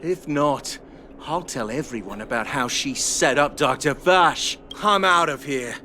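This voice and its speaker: A distrustful video game patient with a forceful, sharp edge. The delivery is sarcastic and accusing, over a light bed of ambient noise. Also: female voice, rumbling low, a little slow, full character voice. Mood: threatening. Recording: clear ambient noise behind.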